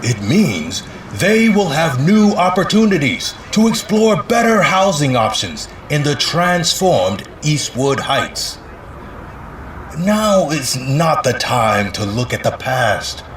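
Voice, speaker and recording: A man playing a polished, evasive city mayor in an audio drama, with a measured, rehearsed politician's delivery. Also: a little high, very animated, roomy sound, a little hiss or hum. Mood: upbeat, official.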